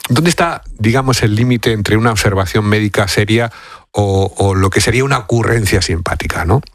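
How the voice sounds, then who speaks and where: A man's voice: lowish voice, fairly quick, no echo, clean studio sound. A man with a Castilian Spanish accent hosting a conversational radio interview. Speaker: radio host interviewing a guest.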